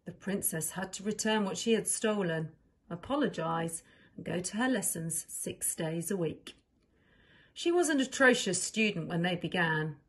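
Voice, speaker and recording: A woman narrating a children's storybook as a reader, with expressive delivery. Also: on the low side, slightly rough.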